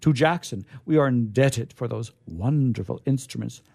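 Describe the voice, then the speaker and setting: A man giving a dramatic story reading in a formal, oratorical manner, with measured, theatrical phrasing and emphatic pauses. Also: mid-range voice, gravelly, a little mellow, husky, dry recording, clean studio sound. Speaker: reader at a literature reading. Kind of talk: dramatic reading of a vintage science fiction story.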